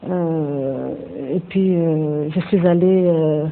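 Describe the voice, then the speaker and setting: A woman's voice: low voice, extremely slow. A woman from Congo answers a panel host's questions in a hesitant, searching way. Her voice sounds tinny and phone-like, with faint hiss behind it. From Democratic Republic of the Congo. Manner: hesitant, many fillers and restarts. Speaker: panel guest. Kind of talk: interview answers.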